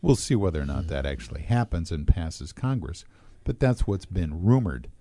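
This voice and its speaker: A man with a very low, mellow voice talks through pensions and interest rates at a measured pace, pausing on fillers. The sound is close and dry, with no room around it. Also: very animated, no echo. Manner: measured, explanatory, with frequent fillers. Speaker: financial advisor co-host. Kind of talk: radio talk show discussion.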